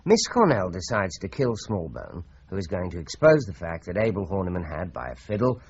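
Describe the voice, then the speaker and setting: An actor playing a methodical British police inspector in a radio drama, explaining things in a deliberate way. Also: male voice, sits low, sing-song, slightly rough, lo-fi sound, full character voice. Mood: explaining, relishing. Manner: deliberate, expository. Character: measured, methodical male police inspector explaining a murder case.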